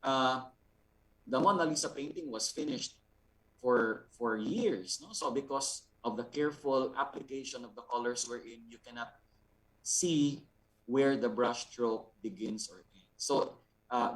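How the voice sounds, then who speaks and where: A man with a Filipino accent teaches in a mid-pitched voice, heard a little far from the microphone. He explains deliberately as an instructor, often repeating words and adding small check-in tags. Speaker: art instructor. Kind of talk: explanatory lesson with examples. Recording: distant, off-mic sound.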